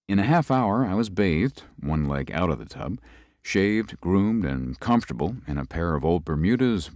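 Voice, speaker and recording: An actor playing a young male lawyer who narrates an eerie tale in a literary, measured, dramatic way. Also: deep voice, a little mellow, a little hiss or hum. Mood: uneasy. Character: young lawyer narrating his eerie stay, uneasy, athletic man. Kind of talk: scripted first-person ghost story narration.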